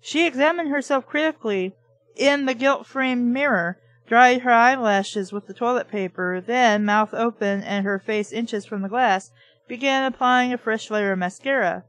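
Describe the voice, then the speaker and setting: A woman's voice: a little high, normal speed, fairly bright tone. A woman reading a book aloud and breaking in with blunt, sarcastic, profane commentary, arguing back at the text. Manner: blunt, sweary, mocking; opinionated, argues back at the text.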